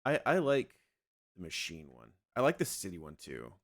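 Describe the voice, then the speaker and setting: A young man streaming a game and chatting loosely with his viewers, his voice a little scratchy from yelling the night before. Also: low voice, normal speed. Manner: relaxed, rambling, talks to chat. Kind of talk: casual livestream chat.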